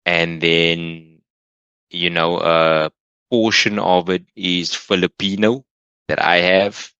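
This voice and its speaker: A man's voice: sits low, little pitch movement, slow, sharp tone, clear, resonant tone, dry recording, muffled recording. A South African man in his late thirties, a podcast guest chatting in a laid-back, slangy way and laughing easily. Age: late 30s. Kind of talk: casual conversation.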